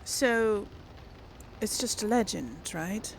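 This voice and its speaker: A woman's voice: mid-range voice, lively intonation, slow, creaky, slight background noise. A woman doing casual let's play commentary, thinking aloud in an informal, hesitant way. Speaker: gamer, let's play host.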